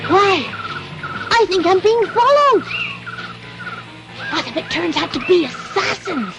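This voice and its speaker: A woman's voice: very high-pitched, very animated, takes it slowly, loud music behind the voice, full character voice. A woman voicing a naive, stubborn little wooden puppet boy in a classic cartoon dub, childish and whiny. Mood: fearful.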